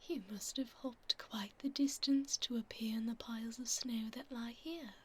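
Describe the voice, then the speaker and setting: A woman voicing a haughty but tender vampire duchess in a soft, whispered ASMR roleplay, with formal, aristocratic phrasing. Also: fairly high pitch, steady tone, normal speed, breathy edge. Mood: haughty, wary.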